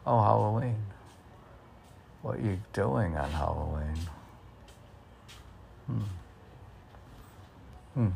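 A man with a very low voice and heavy creak reads poetry informally. He is slow and quizzical, as though thinking aloud to himself. A faint noise sits behind him. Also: lively intonation, darkish tone, rough recording. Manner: musing, quizzical, talking to himself. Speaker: poet reading own work. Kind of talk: informal poetry reading with self-questioning asides.

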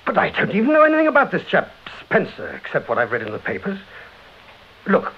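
A man's voice: sits high, huge pitch swings, fast talker, breathy edge, full character voice. An actor playing an irritable, wary, defensive British man with a brusque, grumbling manner in a radio drama. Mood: alarmed, indignant. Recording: dull, hissy old radio recording.

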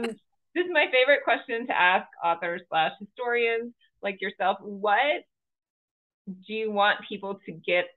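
Podcast host, woman, friendly and easy to laugh, heard over a thin phone-like line. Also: mid-range voice. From Georgia. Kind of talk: casual podcast interview. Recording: tinny remote call line.

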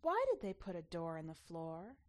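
A woman's voice: fairly low pitch, very animated, normal speed. A woman voicing a young, earnest, reluctant girl who speaks in short, blunt, hesitant replies in a story reading. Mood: curious.

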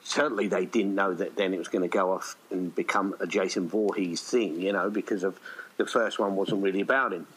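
A man's voice: deep voice, fairly even intonation, a little fast, a bit bright, breathy edge, low-quality recording. A man chatting casually in an interview, rambling and hesitant as he thinks aloud. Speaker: writer-director of an audio play being interviewed.

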